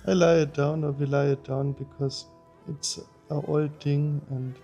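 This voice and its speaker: German man, halting second-language English. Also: fairly high pitch, little pitch movement, mellow, dull audio, faint music behind. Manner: halting, non-native phrasing with fillers. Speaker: interviewee, husband in a couple. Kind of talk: casual conversation.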